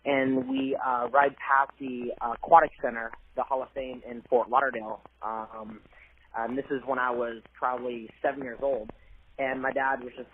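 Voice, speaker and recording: A man's voice: mid-range voice, normal speed, slightly rough. A young man from Cambodia, a college athlete, talking earnestly and a bit hesitantly in a phone interview. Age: young adult. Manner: soft-spoken, earnest, hesitant with fillers. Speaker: guest athlete. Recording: tinny phone line with faint hiss.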